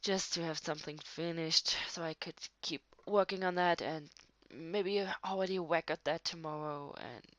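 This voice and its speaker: A woman improvising an intimate one-sided roleplay as a tired, affectionate writer talking to a partner late at night, halting and breathy, often dropping to a near whisper. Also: low-pitched, no echo. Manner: hesitant, halting, with frequent sighs and soft laughs. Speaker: audio roleplay performer.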